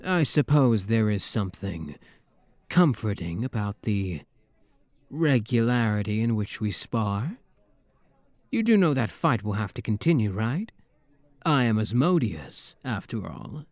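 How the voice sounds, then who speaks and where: A man's voice: fairly low pitch, very animated, unhurried, a little mellow, dry recording. A man acting a suave, teasing demon prince in a romantic roleplay audio drama, with a courtly, flirtatious drawl and playful mock menace. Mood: wry, fond.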